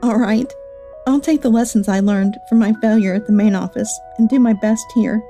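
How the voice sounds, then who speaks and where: A woman's voice: on the high side, steady tone, moderate pace, warm tone, faint music behind, lightly acted. An actress playing an earnest, contrite young woman, a president's daughter, in a manga dub. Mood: resolute. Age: young adult.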